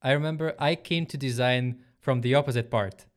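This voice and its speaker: A man's voice: medium pitch, fairly quick. A man hosting a podcast in a conversational style. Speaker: podcast host.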